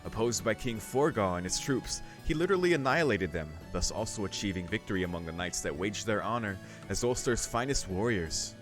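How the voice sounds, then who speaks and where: A man's voice: fairly low pitch, lively intonation, fairly quick, hardly any pauses, soft background music. A man hosting a gaming video, reading aloud and commenting in a casual, rambling, slangy way.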